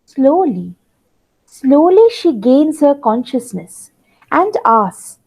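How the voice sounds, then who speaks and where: A woman's voice: sits high, darkish tone, rough recording. A woman narrator telling the story of a play in a dramatic, lingering theatrical style. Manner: dramatic, lingering, with repeated words for effect.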